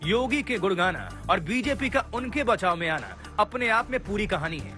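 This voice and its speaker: A man's voice: sits high, animated, moderate pace, bright, forceful tone. A man reading a scripted, dramatic TV news report as a voice-over. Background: background music.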